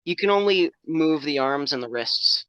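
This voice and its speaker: A man's voice: a little high, lively intonation, moderate pace, sharp tone, clear, resonant tone, dry recording, rough recording. A teenage boy, a guest in a casual podcast chat, speaking in a matter-of-fact, knowledgeable way. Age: teens.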